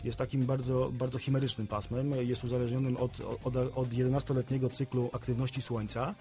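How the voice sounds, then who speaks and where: A man with a soft, mellow voice is a guest expert on a radio interview. He explains in a mid-pitched voice and often doubles back on his words. Faint music plays behind him. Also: level tone, fairly quick. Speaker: CB radio specialist, studio guest. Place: radio studio.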